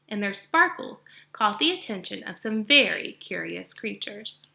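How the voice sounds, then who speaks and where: Bright, gravelly woman reading aloud. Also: medium pitch. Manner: dramatic storytelling, stops to explain narrative point of view. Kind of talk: expressive read-aloud with explanations.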